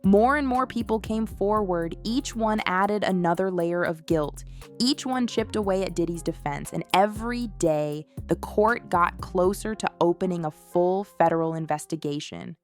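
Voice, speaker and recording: A woman's voice: fairly low pitch, normal speed. A woman reading a scripted, dramatic gossip exposé in short emphatic phrases, as a narrator. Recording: music bed under the voice. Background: background music.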